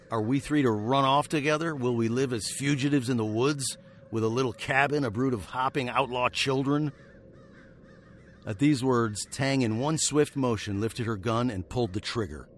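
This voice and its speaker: A man's voice: medium pitch, normal speed, a little hiss or hum, lightly acted. An actor playing a wry fugitive western hero, narrating his tale in a literary, sardonic way for a radio drama.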